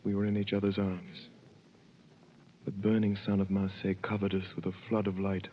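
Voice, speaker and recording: A man's voice: low-pitched, completely flat delivery, fairly quick, highs cut off, lightly acted. An actor in his twenties narrating and playing an eager young sailor in a 1930s radio drama, earnest in manner, on a hissy old recording. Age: 20s. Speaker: narrator and lead.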